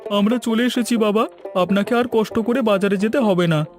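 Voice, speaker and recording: A man acting a modest, courteous younger son-in-law in a cartoon family tale. His voice is very high for a man, with music playing underneath. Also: normal speed, a little mellow, lightly acted. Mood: polite. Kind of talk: Bengali cartoon story acting.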